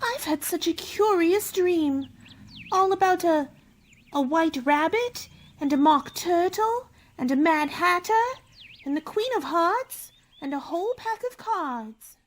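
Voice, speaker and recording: A woman's voice: extremely high pitch, animated, slow, full character voice. A woman playing a young girl in a radio play, with a small, breathless, exclaiming voice. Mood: dreamy wonder. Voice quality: small, girlish voice.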